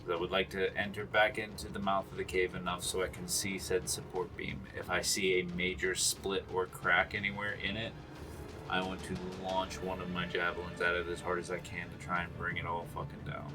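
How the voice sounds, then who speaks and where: A man with a very low voice plays a tabletop game. He lays out his moves deliberately in casual, salty language. Music plays clearly behind him. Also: completely flat delivery. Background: background music.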